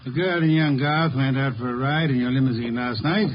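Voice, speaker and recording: A man acting a self-assured, eccentric detective in a 1950s radio drama, questioning with brisk authority. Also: mid-range voice, lightly acted. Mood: inquisitive, composed. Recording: muffled, noisy old radio recording.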